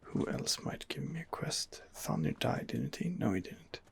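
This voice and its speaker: A man's voice: mid-range voice, very animated, unhurried, creaky, husky. A man giving whispered ASMR commentary on a game as its host, in a hesitant, rambling manner. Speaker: gaming video host.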